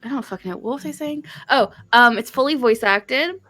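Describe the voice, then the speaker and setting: A woman's voice: on the high side, fairly quick, a bit bright. A woman co-hosting a casual live stream, rambling and playful, with some swearing. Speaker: stream co-host and artist.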